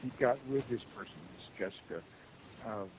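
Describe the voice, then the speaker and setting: A man working as a customer service agent on the phone, speaking in a halting, rambling, conciliatory way. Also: lowish voice. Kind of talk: unscripted phone support conversation. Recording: muffled phone call with hiss.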